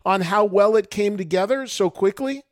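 A man's voice: sits high, normal speed. A man hosting a podcast, asking eager, probing interview questions into a close mic. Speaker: podcast host.

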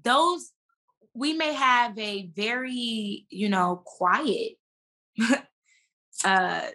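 A woman with a bright, forceful voice speaks as a guest in a casual podcast chat. She is an actress who tells things in a slangy, emphatic way, with plenty of animation. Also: fairly high pitch, drawn-out words. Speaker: guest, stage and screen actress.

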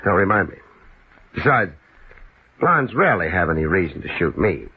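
A tinny, hissing vintage radio recording of an unflappable American private eye. His low voice moves freely up and down as he delivers dry, casual wisecracks in the manner of fifties radio detective drama. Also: very animated, normal speed. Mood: wry, easygoing. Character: wry, laid-back male private investigator, unflappable wisecracker.

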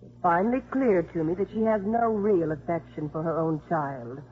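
An actress playing an earnest, conscientious probation officer with careful delivery in 1950s radio drama style. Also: female voice, fairly low pitch, normal speed, natural voice. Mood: earnest, troubled. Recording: muffled 1950s radio recording.

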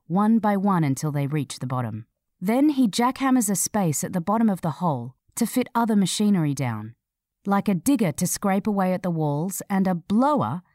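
A woman narrating a scripted feature article in a measured, clearly read delivery. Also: on the low side, a little mellow, no room sound, clean studio sound.

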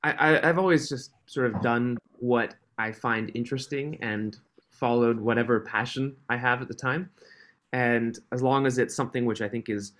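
A man in his thirties, raised in Switzerland, with a mixed, faintly Canadian accent, chatting as a podcast guest, friendly and quick to laugh. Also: mid-range voice, a bit bright. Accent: mixed, Canadian-tinged. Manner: friendly, laughs often, restarts phrases. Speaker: podcast guest, company founder. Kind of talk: casual interview chat.

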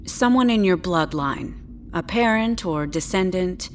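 A futuristic clinic doctor in an audio drama, with a fairly low female voice. She is measured and professional, hesitating politely, with tactful condescension. Faint ambient noise runs behind her. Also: lively intonation. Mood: careful, tactful.

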